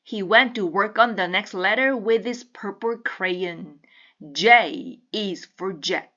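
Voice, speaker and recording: A woman reading a children's picture book aloud with deliberate, teacherly enunciation. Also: medium pitch, sharp tone, highs cut off. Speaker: storybook reader.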